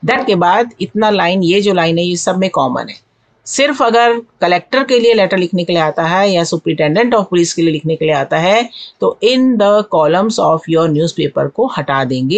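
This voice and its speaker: A woman with an Indian accent, a native Hindi speaker, teaching an exam-prep lesson in an instructive way, repeating and translating key phrases. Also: fairly low pitch. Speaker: English teacher.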